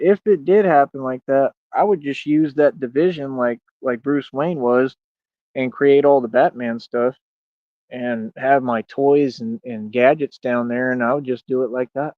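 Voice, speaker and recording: A man's voice: mid-range voice, normal speed, no room sound, narrow, tinny audio. A man in his thirties rambling casually on a livestream in a boastful, defiant way, with slangy talk full of "man" and "bro". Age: 30s. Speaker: streamer.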